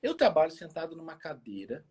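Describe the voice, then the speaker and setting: A man's voice: fairly high pitch, huge pitch swings, brisk, darkish tone, slightly rough. A man of forty with a rural Minas Gerais Brazilian accent, chatting casually and colloquially about his own life, repeating phrases for emphasis. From small town in Minas Gerais, Brazil. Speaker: health expert guest on a live chat. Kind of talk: casual chat with personal stories. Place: remote social media live video call.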